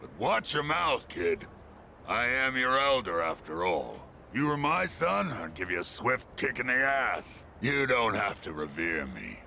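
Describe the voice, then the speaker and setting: A cranky old man in a video game, gravelly and piercingly bright. His scolding swings up and down with grouchy, folksy bite, over music. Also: mid-range voice, very animated, measured pace, a little hiss or hum, exaggerated voice. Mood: grumpy. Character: cranky old man, grumpy elder with rough affection.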